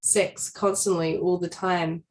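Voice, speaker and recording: A woman's voice: lowish voice, little pitch movement, slow pace, slight background noise. A woman co-hosting an interview, talking casually and thoughtfully, sometimes searching for the right words. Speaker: co-host. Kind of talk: casual conversational chat.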